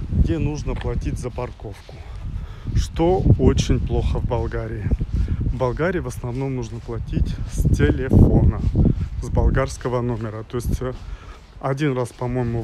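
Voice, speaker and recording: A man around forty talking as a travel vlogger while walking outdoors, pausing mid-sentence and grumbling frankly. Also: medium pitch. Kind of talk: walking vlog monologue to camera. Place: outdoors on a resort town street. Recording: windy outdoor street noise, loud.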